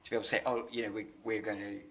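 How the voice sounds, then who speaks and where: A man in a conversational interview, speaking earnestly and haltingly, often repeating words as he works through a thought. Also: on the low side, little pitch movement, fairly bright tone. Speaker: interviewer and business leader.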